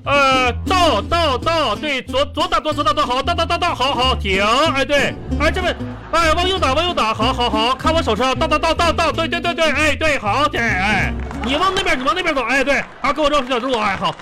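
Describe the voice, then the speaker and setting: 一位男演员用东北口音在喜剧广播剧里演一个爱吹牛、抠门又话多的乡下菜贩，说话热络、咋咋呼呼。. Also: male voice, very high-pitched, a little fast, over music. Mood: bossy, urgent. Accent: Northeastern Chinese. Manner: folksy, excitable, repetitive chatter. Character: boastful, thrifty, chatty small-time rustic vegetable trader. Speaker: radio comedy host. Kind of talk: comedy radio drama.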